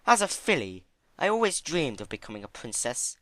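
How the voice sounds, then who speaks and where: A man's voice: medium pitch, big pitch swings, moderate pace, fairly bright tone, dry recording, pitched above the performer's own voice. A man voicing a refined, vain female pony in an affected, theatrical manner for a dramatic storybook reading. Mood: musing. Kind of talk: dramatic fan fiction reading.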